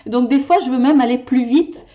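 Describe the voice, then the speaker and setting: A woman reading tarot cards in a weekly video, passionate and animated, tripping over her words as her ideas run ahead. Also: high-pitched, moderate pace, highs cut off. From Italian heritage. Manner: animated, talks with her hands. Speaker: tarot card reader.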